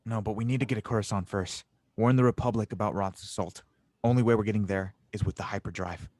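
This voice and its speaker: A man's voice: lowish voice, steady tone, fast, a little mellow, no room sound, close to an everyday voice. A man playing a determined, earnest young engineer hero in an audio drama read.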